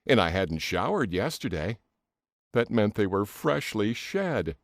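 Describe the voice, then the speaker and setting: Low-voiced man, wildly expressive narrator. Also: unhurried, dry recording, studio quality. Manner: theatrical, expressive delivery. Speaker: audiobook narrator. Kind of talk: dramatic first-person audiobook narration of a comic novel.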